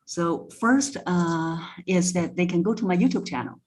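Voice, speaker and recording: A woman's voice: lowish voice, normal speed, a little mellow. Woman voice coach giving practical advice. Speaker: voice coach, interview guest. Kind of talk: conversational expert advice.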